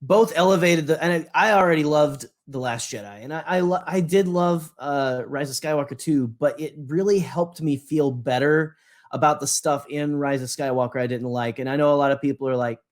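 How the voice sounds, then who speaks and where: A man's voice: on the high side, moderate pace. A man co-hosting a podcast in a casual fan discussion, rambling enthusiastically, often trailing off and repeating his words. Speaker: podcast co-host.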